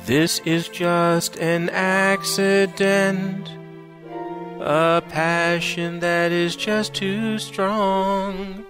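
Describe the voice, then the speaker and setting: A woman's voice: medium pitch, fairly even intonation, extremely slow. A woman singing a sad ballad. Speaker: singer. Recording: sung over backing music.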